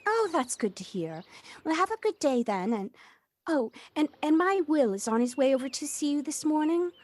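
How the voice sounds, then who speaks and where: Actress as cheerful, high-voiced neighbour woman. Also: very animated, moderate pace, darkish tone. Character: Carmen, cheerful, sociable neighbour and mother. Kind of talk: lockdown audio play acting. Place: at home. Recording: home recording on personal device, faint noise.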